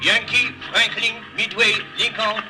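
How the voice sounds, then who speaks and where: A man's voice: sits high, level tone, a little slow, poor audio. An actor playing an urgent man relaying a coded message in an old radio drama. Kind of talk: 1940s-50s radio drama acting. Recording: old radio recording, loud music.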